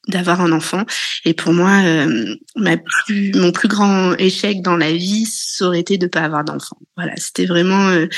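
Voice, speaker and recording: A woman in her thirties telling her own story slowly, with many fillers and hesitations. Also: on the low side, no echo. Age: 30s. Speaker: interview guest. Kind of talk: personal testimony.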